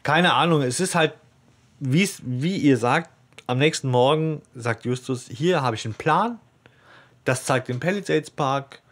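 A man talks casually as a podcast host. His voice sits at a middle pitch. He feels his way through a retelling, often halting and rewording. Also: fairly bright tone. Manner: halting, rephrasing as he goes. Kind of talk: casual discussion retelling a story.

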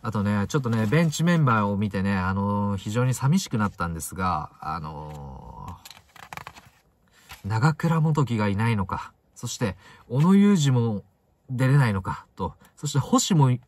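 Deep-voiced young man, animated pitch swings. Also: unhurried. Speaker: football fan vlogger. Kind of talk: casual solo football commentary. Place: inside a parked car.

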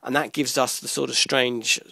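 A man presenting an informal electronics tutorial, explaining step by step in a halting way, pausing mid-sentence. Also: mid-range voice, flat delivery, gravelly, pushed and bright, no echo, rough recording.

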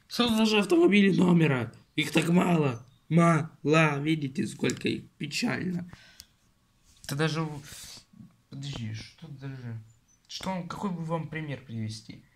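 A man's voice: fairly high pitch, a bit bright, slight background noise. Grumbling man rambling at his desk. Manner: rambling, grumbling, restarts and asides to viewers. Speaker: magazine reviewer. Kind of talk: casual off-the-cuff review. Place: indoors at home, desk with magazines.

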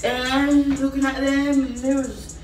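A young woman telling frank stories during a drinking game, sometimes shouting, at a table in a small apartment. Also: high voice, flat delivery, slow pace. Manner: candid storytelling, bursts of shouting. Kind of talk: casual party game chat. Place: small apartment dining room. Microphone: far camera. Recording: echoey room from far off, music behind.

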